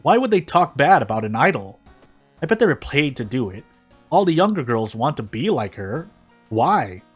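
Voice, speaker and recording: A man's voice: mid-range voice, sing-song, moderate pace, breathy edge. Envious, scornful hater, played by a male reader, incredulous griping, storybook drama over music. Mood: indignant disbelief. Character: bitter, envious idol hater, scornful and suspicious. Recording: music behind the voice.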